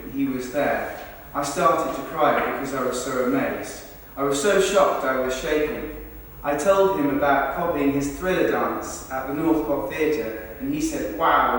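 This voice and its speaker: A man's voice: medium pitch, close to an everyday voice. A man performing verbatim theatre in rehearsal, playing an enthusiastic, starstruck football fan recounting a memory. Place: large reverberant rehearsal space, recorded from afar. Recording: very echoey hall, distant mic, faint noise.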